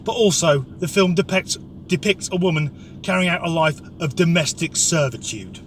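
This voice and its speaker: A man's voice: fairly high pitch, moderate pace, slight background noise. A man with an English accent delivering an opinionated comic rant in a blunt, sweary, sardonic way. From Norwich area, England. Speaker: comic commentator.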